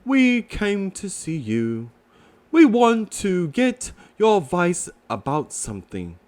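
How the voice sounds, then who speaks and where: A man voicing a gentle, simple-minded storybook bear in a mild, unhurried way. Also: high-pitched, huge pitch swings, exaggerated voice. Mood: friendly.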